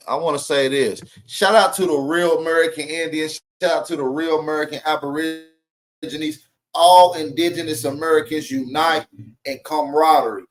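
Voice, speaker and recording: A man co-hosting a reaction stream, mocking and incredulous, swearing freely. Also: a little high. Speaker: co-host reacting to clips. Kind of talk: profane reaction commentary.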